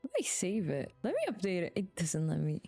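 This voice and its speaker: Lower-pitched, mellow woman streaming a game. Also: lively intonation, fairly quick, gravelly, faint music behind. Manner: informal, slangy, swearing. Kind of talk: casual gaming stream chat with viewers.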